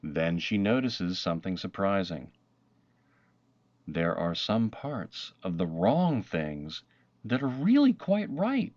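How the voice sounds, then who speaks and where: A man with a very low voice reads a children's storybook aloud with dramatic emphasis. His delivery is slow and animated, with deliberate pauses between phrases. The sound is dry and close. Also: no echo, highs cut off. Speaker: storybook narrator. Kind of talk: read-aloud of a children's picture book.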